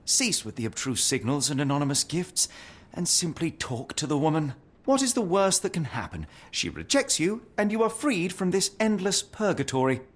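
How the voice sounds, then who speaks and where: A brusque, high-pitched arrogant detective. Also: male voice, very animated, moderate pace. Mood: impatient. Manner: brusque, articulate, pompous. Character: sharp, arrogant, restless young male consulting detective. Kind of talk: video game cutscene acting.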